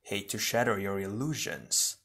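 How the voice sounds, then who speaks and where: A man voicing a sinister, taunting shadow double of a fire warrior in a slow, hissing, crackling whisper. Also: on the low side, fairly bright tone. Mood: mocking. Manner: slow, mocking, seductive menace.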